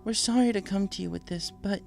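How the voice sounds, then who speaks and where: A man's voice: high-pitched, fast, creaky, over music, voice pushed up. A man voicing a worried, tender female pegasus mother in a storybook, hesitant and apologetic. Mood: pleading. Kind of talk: storybook character voice.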